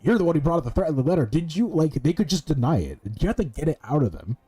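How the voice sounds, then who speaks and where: Husky, mellow streamer with animated delivery. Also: mid-range voice, very fast. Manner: blunt, profane, teasing. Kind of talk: casual gameplay commentary.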